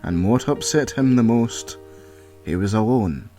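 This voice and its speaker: A man's voice: mid-range voice, expressive, unhurried, over music. A man reading a scripted audio story as its narrator.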